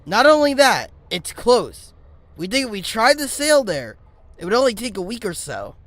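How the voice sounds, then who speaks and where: Man as a high-pitched earnest creature leader. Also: big pitch swings, normal speed, fairly bright tone, no room sound, heavily acted. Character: earnest, reassuring male creature leader chairing a meeting.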